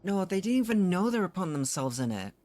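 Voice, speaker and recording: A man's voice: high voice, very animated, fast talker, a little mellow. A man streaming a story game, reacting casually and thinking aloud in a puzzled way. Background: game music.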